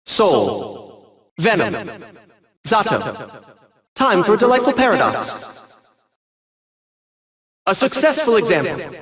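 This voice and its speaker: An actor playing a haughty, eccentric young genius villain in a video game, with a light youthful voice and precise, wordy, theatrical diction. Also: male voice, sits high, wildly swooping pitch, slow, pauses often, a bit bright, echoey room, full character voice. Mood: showy announcing, then smug encouragement. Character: small, prim, eccentric genius villain, smug and condescending.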